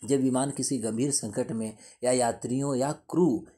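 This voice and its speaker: Male current affairs teacher, soft-edged mellow voice, mid pitch, didactic explainer who restates points. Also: steady tone, normal speed, breathy edge. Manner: didactic, repeats and rephrases points. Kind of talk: explanatory lesson to camera.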